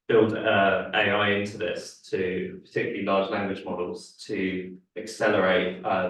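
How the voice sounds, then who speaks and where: A young man giving a seminar talk, hesitant with many fillers and restarts, losing his voice a little. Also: on the low side. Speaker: guest speaker. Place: lecture room. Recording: echoey room, speaker far from mic.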